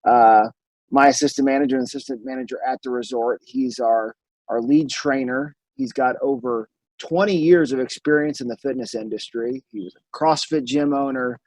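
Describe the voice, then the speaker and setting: Male host, enthusiastic but halting, mid pitch, dry. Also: a little mellow, no room sound, low-quality recording. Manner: enthusiastic, halting, many fillers. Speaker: podcast host. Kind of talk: casual interview. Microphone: remote recording.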